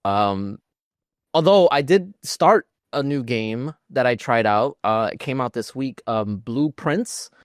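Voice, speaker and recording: A man's voice: medium pitch, lively intonation, slow pace, no echo, studio quality. A man co-hosting a podcast, chatting informally about video games in a self-deprecating way. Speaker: podcast co-host.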